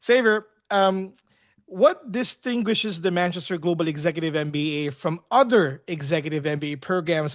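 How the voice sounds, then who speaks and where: A man moderating a webinar Q&A, putting questions to panelists in a friendly, hesitant way with fillers. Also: high-pitched. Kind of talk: hosting a live webinar Q&A.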